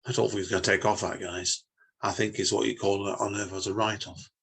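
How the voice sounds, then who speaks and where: A man's voice: fairly low pitch, level tone, fairly quick, creaky, a bit bright, breathy edge, full character voice. A man voicing an ordinary, laid-back man who sounds helpful but cool in a short story. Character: casual ordinary man, outwardly helpful, coolly treacherous.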